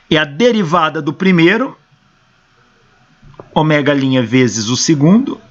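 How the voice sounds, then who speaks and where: A hoarse male engineering professor with a Brazilian Portuguese accent, working through equations step by step and answering his own questions, on a dull lo-fi recording. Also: on the high side, a bit bright.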